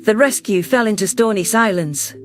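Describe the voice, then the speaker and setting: A man narrating a science fiction story in the first person with steady storytelling delivery. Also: high voice, normal speed.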